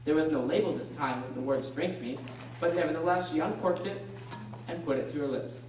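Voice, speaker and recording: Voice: medium pitch, a little fast, darkish tone, faint music behind, close to an everyday voice. A narrator reading a scripted story for a radio drama. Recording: echoey room, distant mic, faint noise.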